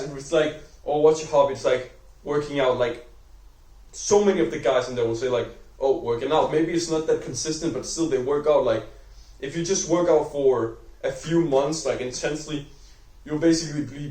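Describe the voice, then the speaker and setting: A man's voice: fairly high pitch, moderate pace, a little mellow, far from the mic. Young Danish man rambling to camera. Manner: rambling, heavy use of "like", self-correcting. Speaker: vlogger. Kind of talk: unscripted talk to camera. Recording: echoey room, voice off-mic.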